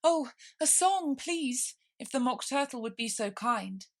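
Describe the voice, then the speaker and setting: A well-mannered, timid little girl from a storybook, voiced by a woman. Her voice is high and moves up and down with lively expression. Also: a little slow. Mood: eager.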